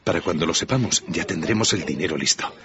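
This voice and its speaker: An actor playing a weary but hopeful husband in debt in a radio drama, earnest and reassuring. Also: male voice, sits low, animated, quick pace, a bit bright. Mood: relieved. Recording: muffled, with clear ambient noise.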